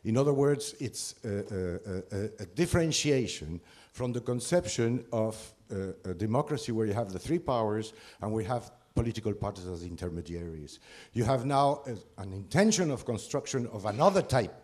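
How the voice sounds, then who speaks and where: A man's voice: on the low side, animated, moderate pace, hardly any pauses, darkish tone. A man from Ecuador, speaking from the floor in a panel discussion. He halts often, with many fillers and restarts. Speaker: conference participant.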